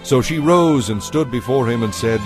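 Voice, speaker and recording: A man narrating a dramatized audio Bible in a measured, formal storytelling manner. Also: medium pitch, over music.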